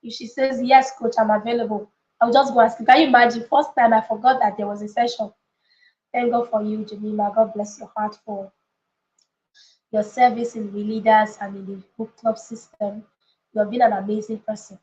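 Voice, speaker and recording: A woman with a fairly high voice teaches like a motivational coach on a live session. She is emphatic and chatty, repeating her points and checking that listeners follow. The room echoes clearly around her voice, and the sound is slightly dull. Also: level tone, moderate pace, a little mellow. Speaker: coach and book club leader hosting a live session. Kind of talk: motivational live teaching with informal chat to viewers.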